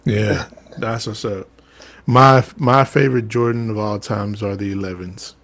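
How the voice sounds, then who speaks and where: A man's voice: lowish voice, fairly even intonation, a little slow, a bit bright. A man hosting a podcast, chatting in an easygoing, agreeable way. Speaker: podcast host. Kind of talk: casual chat.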